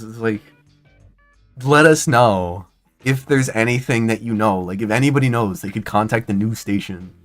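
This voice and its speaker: A man in his twenties streaming casual commentary, rambling and excitable with many restarts and fillers. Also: on the low side. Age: 20s.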